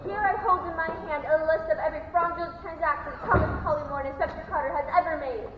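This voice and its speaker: A young woman acting a wronged, commanding young gentleman in a melodramatic stage mystery. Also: high voice, steady tone, fast, background noise, natural voice. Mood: accusing. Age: teenager or young adult. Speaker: amateur stage actor in a school play rehearsal. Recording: echoey hall, distant muffled mic.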